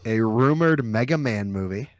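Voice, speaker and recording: A man's voice: medium pitch, animated, moderate pace, no room sound, clean studio sound. A man hosting a podcast, chatting casually with a group. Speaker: podcast host.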